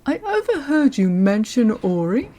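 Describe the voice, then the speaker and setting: A woman playing a courteous senior lawyer in an audio roleplay, speaking in a polite, measured, professional way to the listener. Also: on the high side, very animated, mellow. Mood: polite, businesslike. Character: courteous senior female lawyer showing a newcomer around.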